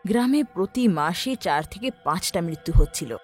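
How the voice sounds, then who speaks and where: A woman's voice: lowish voice, lively intonation, moderate pace, breathy edge. A woman narrating a scripted horror story in a dramatic storytelling style. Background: background music.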